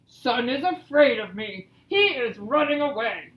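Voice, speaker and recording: Woman as a loud boastful storybook bear. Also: a little high, lively intonation, muffled recording. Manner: slow, emphatic proclaiming. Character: big boastful, foolish bear, loud and stubborn. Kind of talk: children's storybook read-aloud. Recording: echoey room.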